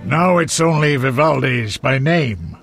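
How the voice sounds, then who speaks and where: An actor playing a blunt, crude, hearty dwarf in a fantasy game, talking in an earthy way. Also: male voice, mid-range voice, lively intonation, a little slow, creaky, fairly bright tone, faint music behind.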